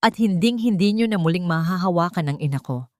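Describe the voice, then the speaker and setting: A woman narrator plays a brooding businessman in an audio love drama, intense and dangerous. The voice is pitched like a lower woman's, in a very dry recording. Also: expressive, a little fast, darkish tone, dry recording, natural voice. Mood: cold threat. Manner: intense, threatening.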